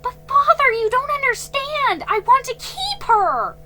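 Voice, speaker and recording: A woman's voice: lively intonation, slow pace, pushed and bright, slight background noise, heavily acted. Woman voicing a pleading, headstrong young girl. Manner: pleading, high and eager. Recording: faint music behind.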